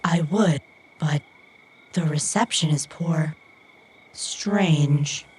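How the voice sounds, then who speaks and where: A woman playing an earnest robot companion in an audio drama, literal-minded and brave though anxious, with stilted, formal, deliberate phrasing. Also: deep voice, darkish tone, breathy edge, heavily acted. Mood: nervous, tender.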